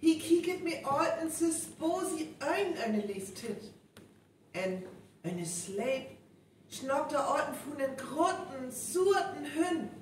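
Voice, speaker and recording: A woman's voice: sits high, expressive, slow. An actress with a North Frisian accent playing a woman in a folk-tale radio play performed live. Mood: earnest telling. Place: event venue, live stage recording. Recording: echoey hall, distant, faint noise.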